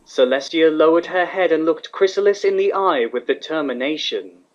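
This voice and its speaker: Casting: male story narrator, slightly high voice, lo-fi sound. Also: moderate pace. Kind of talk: scripted story narration of fan fiction. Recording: slightly dull, lo-fi recording.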